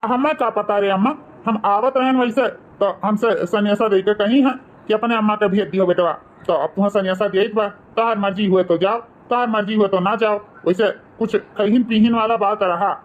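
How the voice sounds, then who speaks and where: A dutiful young village son, voiced by a performer in a cartoon, coaxing his parents in an Awadhi accent. His voice sits at a middle pitch. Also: steady tone, fairly quick, few pauses, lo-fi sound, natural voice.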